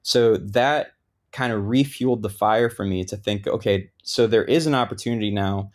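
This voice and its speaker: Low-voiced man telling stories casually. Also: moderate pace. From Lebanon. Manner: relaxed, lots of "like" fillers. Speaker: guest, thru-hiker. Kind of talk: casual interview storytelling.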